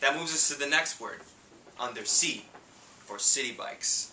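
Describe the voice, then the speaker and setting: A bright-voiced man in his thirties with a strong New York City accent delivers a comic speech with punchy, playful timing. He sounds distant from the mic, his voice ringing in an echoey meeting room with a faint hiss underneath. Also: mid-range voice, a little slow. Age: 30s. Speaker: speech contestant. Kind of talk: humorous prepared speech.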